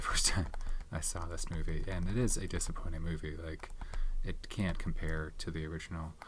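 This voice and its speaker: Very low-voiced soft-spoken man. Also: background noise. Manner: rambling, many fillers, soft-spoken with some whispered words. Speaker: ASMR channel host. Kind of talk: soft-spoken ASMR movie chat. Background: tapping and keyboard clicks.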